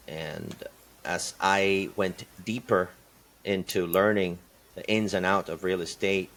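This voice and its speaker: A man from Peru, answering interview questions as a podcast guest in a thoughtful way, pausing and restarting often. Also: sits low, a bit bright. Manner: thoughtful, many fillers and restarts. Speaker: podcast guest, construction company partner.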